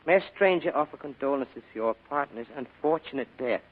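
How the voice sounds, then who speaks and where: A man's voice: medium pitch, animated, fairly quick, lightly acted. A man playing a polite, fussy foreign crook in an old radio drama, formal and over-courteous, with hesitant phrasing. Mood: courteous, careful. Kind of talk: 1940s radio acting. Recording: muffled old radio broadcast, phone-like.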